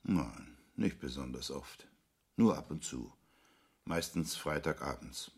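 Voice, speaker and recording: A taciturn villager in a radio drama. His voice is extremely low, with a gruff, husky rasp. He answers in a placid, stolid, laconic way. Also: slow, darkish tone, exaggerated voice. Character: taciturn, placid male country villager in a tavern.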